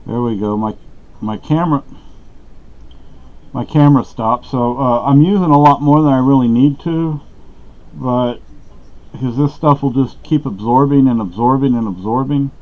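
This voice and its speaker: A man's voice: mid-range voice, normal speed, pauses often. A middle-aged man from Texas talking casually to the camera at home, meandering with many fillers and restarts. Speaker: vlogger. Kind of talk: rambling casual talk to camera. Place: small dark room at home. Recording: dull lo-fi camera audio.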